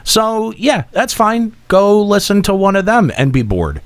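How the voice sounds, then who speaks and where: A man's voice: sits high, sing-song, measured pace. A man hosting a podcast, bantering casually with his co-hosts. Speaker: podcast host.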